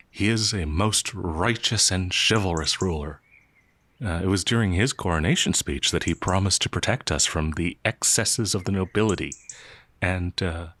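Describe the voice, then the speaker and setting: A man playing a gentle, naive young peasant werewolf, hesitant and easily flustered, in a fantasy audio roleplay. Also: low voice. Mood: earnest, wistful.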